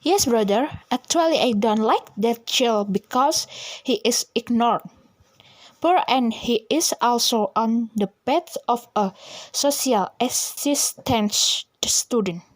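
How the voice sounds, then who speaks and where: A woman's voice: on the high side, slow pace, no room sound. A young woman with an Indonesian accent playing a two-faced schoolgirl in an amateur radio drama. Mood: conspiring. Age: young adult.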